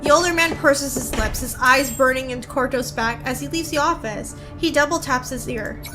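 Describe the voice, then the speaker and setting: A woman streaming a video game, chatty and expressive, switching between reading aloud and casual commentary. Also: high-pitched, moderate pace. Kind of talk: casual playthrough commentary and read-aloud narration. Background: game music.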